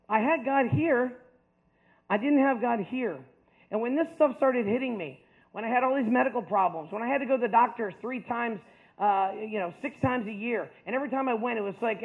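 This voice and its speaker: A man's voice: sits high, phone-quality sound. A man in his fifties from the United States giving a personal testimony to an audience, earnest and chatty, often checking in with "right?". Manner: earnest storytelling with frequent "like" and "right?".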